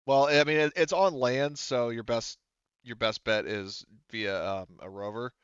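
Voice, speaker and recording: A man acting as game master, casually explaining things to players in a halting, informal way. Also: medium pitch, a bit bright, clear, resonant tone, no room sound, lo-fi sound. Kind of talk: casual tabletop game narration and chat.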